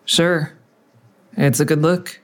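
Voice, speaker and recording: A woman's voice: low voice, steady tone, takes it slowly. A woman playing a grim, confrontational investigator in an audio drama, questioning in a terse, dry, needling way. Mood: cold, dry. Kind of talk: audio drama acting with narration.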